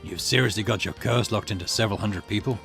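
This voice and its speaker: A man acts out a gruff, hard-boiled detective in a visual novel. The voice is fairly low, the manner blunt, slangy and confrontational. Music plays underneath. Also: fast talker. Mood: blunt, accusing.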